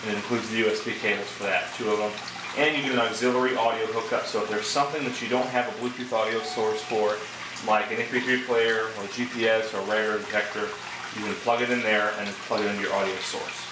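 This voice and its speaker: A man's voice: fairly low pitch, level tone, fast talker. A man giving a casual product demonstration and review, explaining things step by step in a conversational way. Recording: echoey, distant mic, clear background noise.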